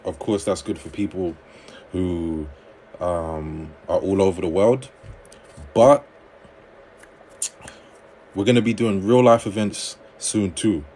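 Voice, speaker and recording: A man hosting a livestream on dating advice, reading viewer comments aloud and answering them in a relaxed conversational way. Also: deep voice, takes it slowly, pauses often, a little hiss or hum.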